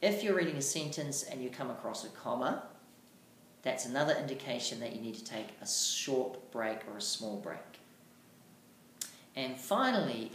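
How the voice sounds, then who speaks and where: A teacher giving an instructional lesson on reading aloud, speaking deliberately and emphatically with marked pauses. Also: mid-range voice, a bit bright. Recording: echoey room, faint background noise.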